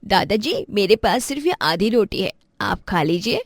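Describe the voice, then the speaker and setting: A woman's voice: mid-range voice, very animated, moderate pace, no room sound, clean studio sound. A woman voicing a poor, selfless schoolboy in a storybook tale, polite and earnest in manner. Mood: kind, respectful. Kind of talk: storybook character acting.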